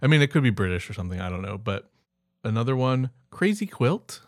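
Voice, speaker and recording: A man's voice: mid-range voice, sing-song, brisk, dry recording, clean studio sound. A man co-hosting a podcast in casual, humorous chat, reading out words and rambling into offhand asides. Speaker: podcast co-host.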